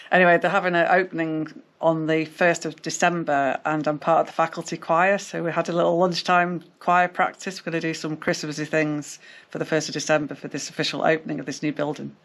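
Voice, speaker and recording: British woman in casual vlog chat. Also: deep voice. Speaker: vlog co-host.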